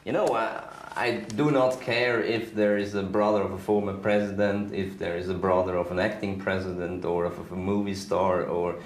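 A middle-aged man with a very deep voice talks firmly and bluntly. The room around him sounds echoey. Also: steady tone, a little slow, few pauses, slight background noise. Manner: firm, blunt, resolute. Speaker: interviewee. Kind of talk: documentary interview. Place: indoor room.

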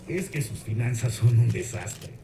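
A man's voice: medium pitch, sing-song, takes it slowly, pitched below the performer's own voice. A man voicing a blunt, skeptical loan officer in a comic film parody, recorded with noisy, roomy sound.

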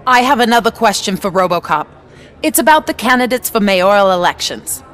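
A woman's voice: mid-range voice, lively intonation, brisk, fairly bright tone, natural voice. A woman playing a persistent news reporter in a video game, with formal, probing delivery. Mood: insistent. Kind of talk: video game cutscene acting.

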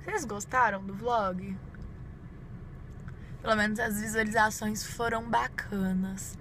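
A woman's voice: mid-range voice, steady tone, takes it slowly, a bit bright. A Brazilian woman in her twenties chatting informally to viewers inside a parked car, busy with her makeup as she talks. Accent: Brazilian Portuguese. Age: 20s. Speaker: beauty vlogger. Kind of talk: casual chat to viewers while doing makeup. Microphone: phone at arm's length. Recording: clear vehicle and ambient noise.

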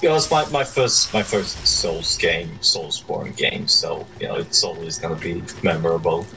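A man's voice: low voice, moderate pace. A man chatting casually during co-op gameplay, a little hesitant and stammering as he talks. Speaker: gamer following in co-op. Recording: clear background noise on voice.

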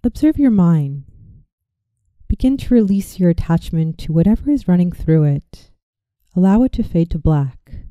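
A woman narrating a scripted guided visualization as a meditation guide, in a soothing, gentle and measured way. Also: lowish voice, animated, dry recording, dull audio.

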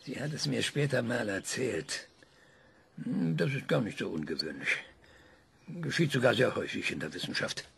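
Radio play: proud, gravelly scientist; lecturing, dramatic, drops to whispers. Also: male voice, medium pitch, big pitch swings, moderate pace, heavily acted. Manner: lecturing, dramatic emphasis with sudden hushed whispers. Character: proud male scientist, sharp and scornful. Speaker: scientist being interviewed.

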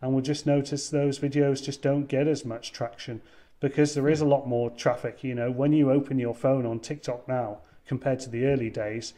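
Mellow-voiced young man, halting and thoughtful. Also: medium pitch, flat delivery. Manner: hesitant, many ums and restarts. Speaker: wine content creator guest. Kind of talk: casual interview over video call. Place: indoors, room with wine shelves. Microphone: over-ear headset on video call.

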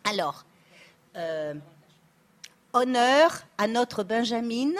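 A woman moderates a panel discussion, speaking slowly and with some hesitation. Her voice sits fairly high, and she fills pauses as she passes the floor to each guest. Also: lively intonation. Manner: slow, hesitant, with fillers. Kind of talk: conference moderation.

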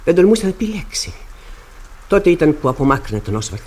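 A woman's voice: low-pitched, big pitch swings, darkish tone, breathy edge, exaggerated voice. An actress playing a proud middle-aged widow and mother in Greek radio theatre, speaking formally, intensely and dramatically. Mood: anguished, intense.

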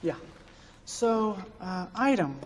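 Young govt aide guy, high voice, earnest n stiff, really echoey room. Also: lively intonation, takes it slowly. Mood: stiff, unsure. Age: 20s. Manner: hesitant, stiffly polite, dry. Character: earnest, officious 22-year-old male government aide. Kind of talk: scripted satirical screen acting. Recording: very reverberant room, faint noise.

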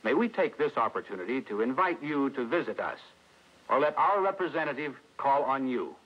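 A man's voice: mid-range voice, very animated, a little fast, slight background noise. A middle-aged American man presenting scripted 1960s industrial film narration as a company spokesman, in a formal, salesmanlike way. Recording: dull, lo-fi vintage film soundtrack.

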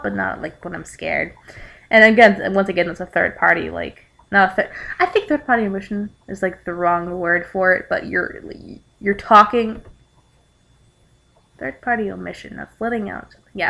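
A young adult woman reading aloud and commenting casually, in a halting, rambling way with exasperated asides. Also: fairly low pitch, vocal fry, fairly bright tone. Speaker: amateur vlogger reading fan fiction aloud. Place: living room at home.

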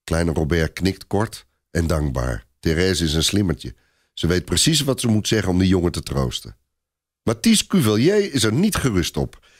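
A man narrating a dramatic historical story for a radio play in an expressive storytelling manner. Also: deep voice, normal speed.

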